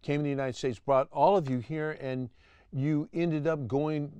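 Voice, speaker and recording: A man's voice: on the high side, steady tone, normal speed, warm tone, clean tone, dry recording, highs cut off. A man hosting an interview show, greeting his guest with enthusiastic praise and asking questions with frequent murmurs of agreement. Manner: enthusiastic, effusive, backchannels often.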